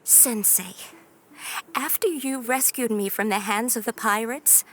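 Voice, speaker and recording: Determined young swordswoman, slightly high voice. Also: a bit bright. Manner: earnest, respectful. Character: devoted, determined young female sword disciple. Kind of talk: dramatic video game acting.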